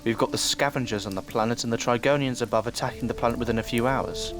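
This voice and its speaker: A man's voice: mid-range voice, fairly even intonation, fast, music in the background, close to an everyday voice. A man playing a brooding, analytical time-travelling alien in an audio drama, explaining things in a formal, commanding way. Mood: measured, explaining.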